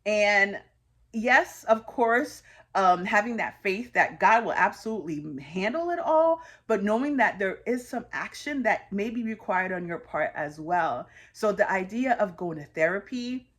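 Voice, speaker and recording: Thirtysomething american woman just talking it out, earnest, lots of ums, mid-pitched voice. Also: lively intonation, a little slow, fairly bright tone. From United States. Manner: earnest, conversational, with frequent fillers. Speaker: host sharing personal reflections. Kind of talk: reflective personal monologue.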